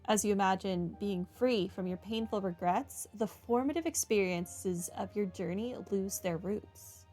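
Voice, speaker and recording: A woman's voice: medium pitch, a little mellow. Wry woman hosting a game playthrough. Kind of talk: game commentary and reading dialogue aloud. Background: music.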